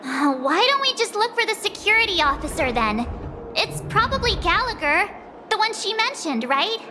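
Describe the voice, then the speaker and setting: Tiny, very high-pitched excitable sprite girl. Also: moderate pace, background noise. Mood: puzzled, pleading. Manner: exclamatory, hesitant interjections. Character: small excitable fairy-like girl companion, impatient and nosy. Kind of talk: video game voice acting.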